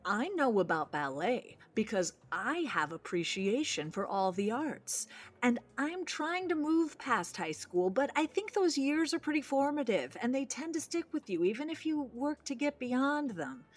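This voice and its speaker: A woman's voice: high-pitched, sing-song, a little slow, full character voice. An actress playing an earnest, nervous young woman on a blind date in an audio drama. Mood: reassuring.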